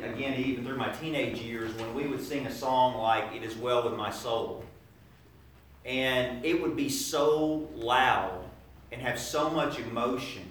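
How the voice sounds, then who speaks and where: A middle-aged man preaches in a relaxed, conversational sermon, often starting over and filling pauses as he thinks aloud. His voice sits at an ordinary pitch. It reaches the mic from some distance and rings through a very reverberant church room, with a faint ambient hum underneath. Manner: conversational, halting, many restarts and fillers. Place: church sanctuary.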